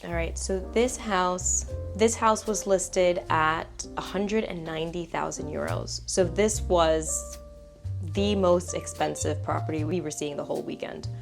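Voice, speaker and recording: An American woman with a slightly raspy voice, chatting casually and playfully in slangy vlog narration as she presents a house tour. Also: on the low side, unhurried, music in the background. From United States. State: raspy, tired voice.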